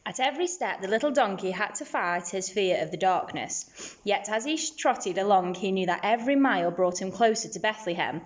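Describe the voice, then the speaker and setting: A woman's voice: mid-range voice, expressive, brisk, lo-fi sound. A woman teacher narrating a children's storybook aloud.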